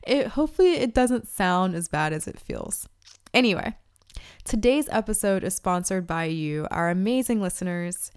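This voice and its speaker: A woman's voice: on the low side, expressive, normal speed, clean tone. A woman hosting a podcast, congested from allergies, giving upbeat, direct advice. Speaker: podcast host. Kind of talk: casual podcast talk and read ads.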